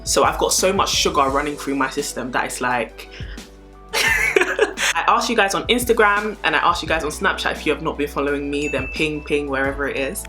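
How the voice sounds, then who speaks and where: A twenty-year-old British woman vlogging in a casual, chatty Q and A style, with an animated, emphatic way of talking. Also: low-pitched.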